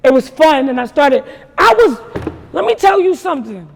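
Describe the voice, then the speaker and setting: A young woman from New York with a naturally raspy voice, telling her story in a casual interview with emphatic, slangy talk. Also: high voice, sing-song, moderate pace, in a large hall. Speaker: rapper guest.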